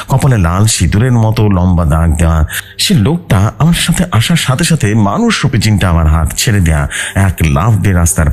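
A man's voice: fairly low pitch, a little mellow. A man narrating a scripted first-person ghost story.

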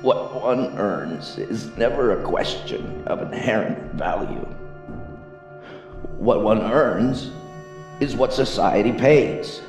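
A man playing a cold, domineering boss in a sci-fi audio drama, speaking with deliberate authority. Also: on the low side, lively intonation. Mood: cold, lecturing. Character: cold, domineering male boss of future world. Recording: distant, echoey hall, faint music.

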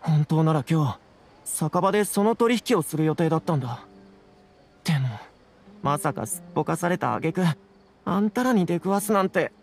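A man's voice: fairly high pitch, lively intonation, moderate pace, soft background music. A man playing a nervous, flustered scholar in an anime game, with a pleading manner. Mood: anxious, defensive. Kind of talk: Japanese anime game voice acting.

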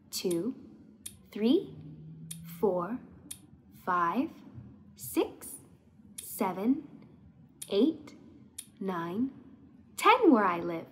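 A woman voicing a thoughtful little girl who explains her home life earnestly, as in a children's storybook. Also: on the high side. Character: thoughtful little girl living in crowded apartment.

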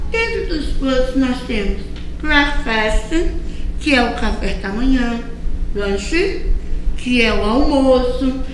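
Brief: middle-aged Brazilian schoolteacher, high and unhurried, filmed in a very echoey studio with noticeable noise. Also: female voice, a bit bright. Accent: Brazilian Portuguese. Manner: slow, drawn-out explaining with frequent checks like "tá?" and "ok?". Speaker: school English teacher. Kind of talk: recorded lesson for young children. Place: school recording studio with a cartoon backdrop. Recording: very echoey room with clear background noise.

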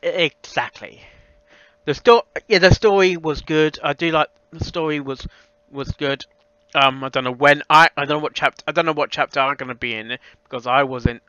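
A young man giving a casual spoken critique as a reaction host, halting and often repeating himself. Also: on the high side, sharp tone, clear, resonant tone, dry recording. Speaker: reaction video host reviewing a fan audio reading. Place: small room at a computer, indoors. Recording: dull, slightly lo-fi close recording.

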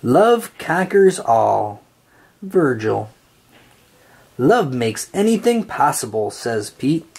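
Expressive, slow storybook reader, husky voice. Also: mid-range voice. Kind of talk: read-aloud of a children's picture book.

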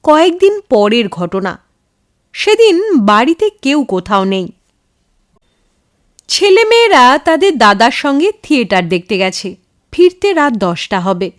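Female audio-story narrator: high voice, unhurried pace, dramatic and suspenseful delivery. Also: no echo, clean studio sound. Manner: expressive, suspenseful.

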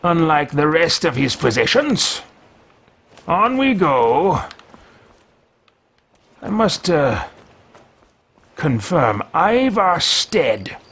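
A man's voice: a little high, big pitch swings, extremely slow, pauses often, a bit bright, husky, exaggerated voice. Man playing a pompous greedy mage. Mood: haughty. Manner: pompous, musing to himself. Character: haughty male mage-thief, self-talking, greedy. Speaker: let's play host. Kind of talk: in-character game roleplay. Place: home room with bookshelves.

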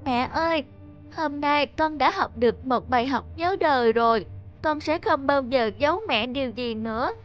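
A woman voicing a naive, eager little peasant boy in a children's cartoon, earnest and expressive. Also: high voice, normal speed, dull audio, faint music behind, lightly acted. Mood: contrite.

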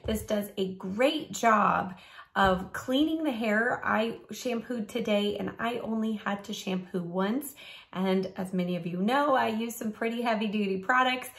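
Raspy-voiced woman reviewing hair products. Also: medium pitch, measured pace, fairly bright tone. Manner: chatty, upbeat, instructive. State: raspy from a cold. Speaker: beauty vlogger. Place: home studio room. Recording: roomy home recording.

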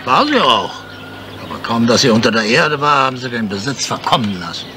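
An actor playing an old, deferential gardener who rambles proudly and wistfully in a German radio crime drama. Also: male voice, medium pitch, big pitch swings, a little fast, heavy background noise, lightly acted. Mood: guarded, aggrieved. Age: older.

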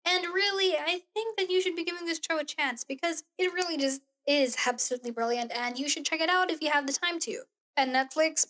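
A young woman vlogging in a small bedroom into a close studio mic, talking earnestly about a show with a hoarse voice. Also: high voice. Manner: gushing, earnest, gestures while talking. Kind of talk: casual review vlog. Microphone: studio mic on boom arm, close.

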